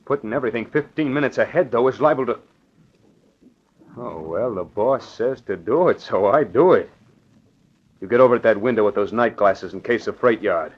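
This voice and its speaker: An actor playing a short-tempered, commanding gangster of about forty in 1940s radio style, on a muffled recording. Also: male voice, mid-range voice, moderate pace, husky, natural voice. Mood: curt, tense. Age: 40s. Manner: curt, commanding.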